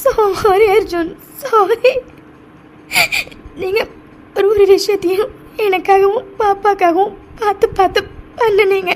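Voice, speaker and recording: A woman's voice: very high-pitched, a little mellow. A woman playing a frightened, guilt-ridden young wife in an emotional audio drama, crying and stammering as she pleads. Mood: guilty, weeping. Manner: tearful, halting, stammering repetitions. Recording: faint background noise.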